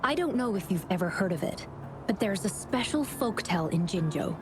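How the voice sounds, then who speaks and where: An actress playing a folksy, brisk woman who oversees a mine, chatty and animated. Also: medium pitch, noisy background, close to an everyday voice. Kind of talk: game cutscene dialogue. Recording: game music underneath. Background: music.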